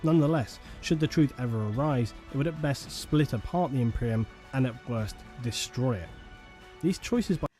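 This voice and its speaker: Male narrator for a lore documentary: dark, relaxed voice, steady solemn delivery, faint music bed. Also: medium pitch. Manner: measured, solemn storytelling.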